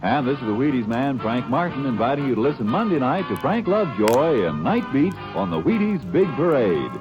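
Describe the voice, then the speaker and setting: A man's voice: medium pitch, big pitch swings, fairly quick, lo-fi sound, over music. A man doing a jovial sponsor commercial in a 1940s radio broadcast. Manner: jovial, salesy. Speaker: sponsor announcer.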